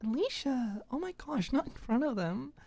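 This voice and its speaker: Woman playing a timid, high-voiced young woman. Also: sing-song, normal speed, creaky, dark tone, no room sound, heavily acted. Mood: flustered. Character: timid, flustered, bashful young woman, new student. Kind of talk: audiobook character acting.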